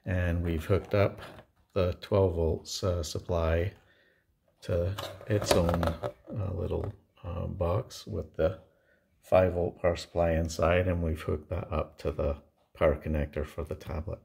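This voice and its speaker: A man giving a casual how-to narration of a home installation, explaining step by step with halting pauses mid-sentence. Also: deep voice, level tone, creaky, a little mellow, slight background noise. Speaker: DIY home installer presenting his project. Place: indoors in a home.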